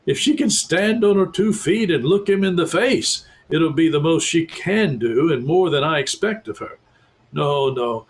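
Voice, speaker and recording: A man doing an excitable talking beaver. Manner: emphatic, booming with excitement. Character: excitable talking male beaver, proud and earnest. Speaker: pastor reading aloud.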